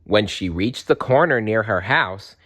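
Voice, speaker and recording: A man's voice: lowish voice, expressive, unhurried, fairly bright tone. A man reading a children's storybook aloud expressively. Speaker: storybook reader.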